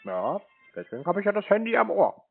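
A man with a husky voice in a prank phone conversation, answering in a deadpan, naive manner. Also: sits high, a little fast, no echo. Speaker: prankster answering scam calls. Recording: tinny, dry phone-band sound.

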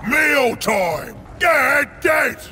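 An actor voicing a huge, brutish, ravenous male ogre warrior in a video game, barking short blunt war cries in a gruff, gravelly voice. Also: extremely high pitch, lively intonation, very slow, pushed and bright, shouting, soft background music, heavily acted. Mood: bloodthirsty, aggressive. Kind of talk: video game unit battle barks.

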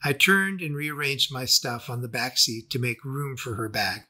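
A man reading a scripted first-person memoir as its narrator, with a husky voice. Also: mid-range voice, normal speed, pushed and bright. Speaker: author and narrator.

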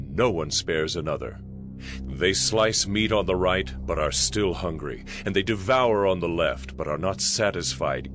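Male Bible narrator, low-pitched, solemn and measured dramatic reading over soft music. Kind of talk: dramatized scripted scripture reading.

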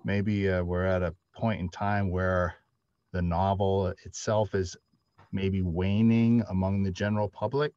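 A man's voice: deep voice, little pitch movement, unhurried, a little mellow, no echo. A middle-aged man talking casually as a panelist over a video call. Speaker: panelist, audio drama writer. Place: indoor room, on a video call. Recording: dull, lo-fi video call audio.

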